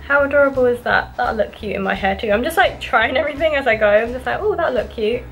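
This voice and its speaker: A woman's voice: a little high, fast talker, a bit bright, faint music behind. A young woman gushing enthusiastically as she unboxes and chats to her viewers. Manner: gushing, enthusiastic, repeats "really, really". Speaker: vlogger. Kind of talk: casual unboxing chat.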